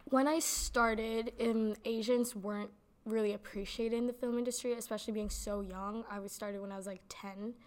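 A woman's voice: fairly high pitch, steady tone, normal speed, clear, resonant tone. A young woman giving casual interview answers in a chatty way, with lots of "like" fillers. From Canada. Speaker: interviewee, actor.

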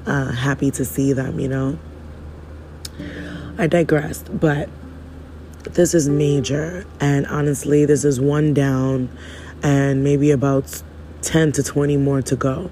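Hoarse man, rambling preachy podcaster, darker tone, faint noise behind. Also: mid-range voice, level tone, slow pace, pauses often, warm tone. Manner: rambling, preachy, casual slang. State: losing his voice. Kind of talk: spiritual monologue.

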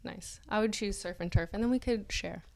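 A woman's voice: medium pitch, brisk, darkish tone. A woman co-hosting a podcast, reading an essay aloud in a theatrical way and breaking off into casual banter. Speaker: podcast co-host.

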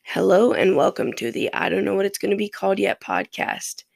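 A podcast host from Ohio with a raspy, gravelly voice that swings widely up and down in pitch. They ramble loosely and curse freely, drifting from one topic to the next. The recording is dry and close, with no room sound. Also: lively intonation, brisk, dry recording. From Ohio, USA. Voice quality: raspy, fairly deep voice. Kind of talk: rambling casual monologue.

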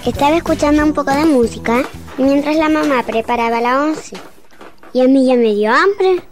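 A woman's voice: sits high, moderate pace, soft background music, lightly acted. A woman playing a serious, disillusioned seven-year-old daughter in a radio drama, speaking earnestly and wistfully. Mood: curious, polite.

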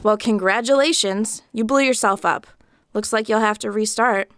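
A woman's voice: medium pitch, moderate pace, fairly bright tone, slight background noise, natural voice. A woman playing a sarcastic, bossy military training instructor in a video game, in a comic audio drama. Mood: exasperated, sarcastic. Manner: sardonic, bossy, condescending.

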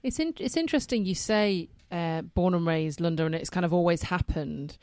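A woman's voice: fairly low pitch, moderate pace, darkish tone, no echo, clean studio sound. A woman from Yorkshire with a light Yorkshire accent, co-hosting a casual chat in a playful, rambling way with many fillers. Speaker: podcast co-host, social worker.

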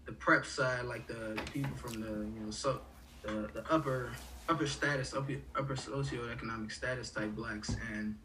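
A man's voice: mid-range voice, sharp tone, distant mic, a little hiss or hum, low-quality recording. A young man from Haiti, a college student, talking casually in a rambling way with many fillers and restarts. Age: young adult. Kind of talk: casual conversation.

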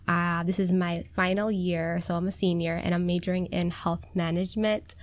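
Low-voiced woman in her twenties. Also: moderate pace, no room sound. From Minnesota, USA. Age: 20s. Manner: relaxed, hesitant, many "um" and "like". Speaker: podcast guest. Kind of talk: casual chat.

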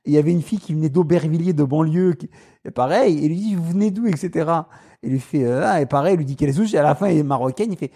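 Mellow-voiced man with a Paris suburban accent. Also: on the high side, racing, slightly rough. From Paris suburbs, France. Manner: animated, mimics voices in anecdotes, laughs often. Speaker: guest. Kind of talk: casual storytelling.

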